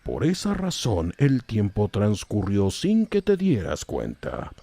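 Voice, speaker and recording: Old wizard sage, very deep, dark husky voice, swooping intonation, indulgent, measured, audiobook storytelling. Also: male voice, huge pitch swings, no echo, exaggerated voice. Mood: gently instructive. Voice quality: gravelly, husky. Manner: slow, measured, sententious. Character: wise, calm old male wizard mentor, indulgent. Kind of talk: audiobook character acting.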